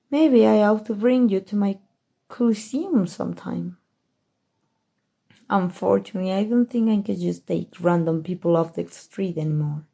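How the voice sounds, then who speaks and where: A woman with a Mexican accent playing a haughty, scheming evil queen in a soft, musing ASMR roleplay monologue. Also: mid-range voice, slightly rough. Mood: smug, coaxing, then gleeful scheming. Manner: slow, measured, musing delivery addressed to a listener.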